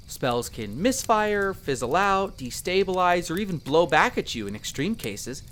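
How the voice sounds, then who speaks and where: Man playing lively, friendly young elf explorer. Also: on the high side, slight background noise. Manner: chatty, patiently explanatory, playful. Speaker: character in a roleplay audio drama. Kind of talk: in-character conversational roleplay addressed to the listener.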